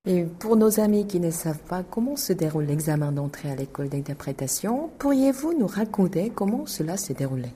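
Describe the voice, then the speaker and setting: Polite low-voiced woman host. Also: expressive, a little fast, hardly any pauses, warm tone, slight background noise. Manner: polite, formal. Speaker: radio host. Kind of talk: interview questions.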